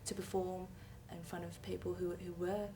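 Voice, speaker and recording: A woman's voice: lowish voice, little pitch movement, moderate pace, noisy background. A woman who performed with a community group, speaking upbeat with fillers. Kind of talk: casual interview. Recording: distant from mic, music behind.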